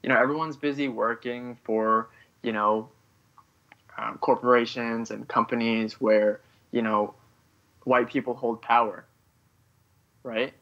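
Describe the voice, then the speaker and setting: A man originally from Vietnam, a guest writer on a podcast interview, talking haltingly and leaning on "you know" between thoughts. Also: mid-range voice, fairly even intonation, lo-fi sound.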